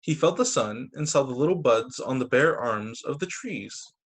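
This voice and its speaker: A man reading picture books aloud to children as a teacher, expressive, with brief casual asides. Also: medium pitch, normal speed, husky. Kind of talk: animated children's story reading. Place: remote video story time.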